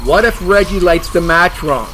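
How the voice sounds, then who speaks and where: Man acting a cocky, high-pitched monster hunter. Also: animated, moderate pace, sharp tone, slight background noise. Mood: stubborn. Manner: sarcastic, stubborn.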